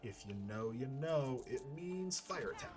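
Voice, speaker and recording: A man's voice: mid-range voice, lively intonation, measured pace. Casual male let's-player, mid-range voice, jokey and wry, light music bed. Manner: wry, joking asides. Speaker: video game player and host. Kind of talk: casual let's-play commentary.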